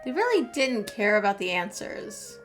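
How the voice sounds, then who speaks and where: A woman streaming a game, chatting casually in short sympathetic asides. Her voice is high and animated, and game music plays clearly behind her. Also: moderate pace. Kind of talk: casual live reactions to a game story.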